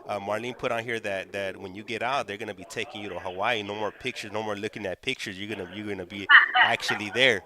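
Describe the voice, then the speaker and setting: A man hosting a casual live stream, speaking haltingly and often stammering over repeated words. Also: fairly low pitch, steady tone, a crowd in the background. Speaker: host of a call-in stream.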